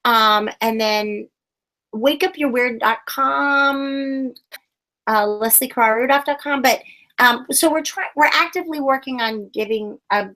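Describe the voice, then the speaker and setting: A woman's voice: a little high, unhurried, sharp tone, poor audio. A woman puppeteer talking in a casual interview, enthusiastic and rambling. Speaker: puppeteer guest.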